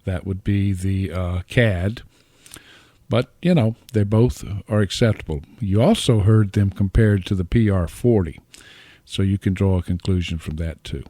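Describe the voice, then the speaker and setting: A middle-aged or older man giving an informal, plainspoken microphone demo in short, deliberate phrases, speaking close to a dynamic microphone. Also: deep voice, warm tone, no room sound. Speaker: amateur radio hobbyist reviewing microphones. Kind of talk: informal explanatory product demo narration. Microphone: close dynamic podcast or studio microphone.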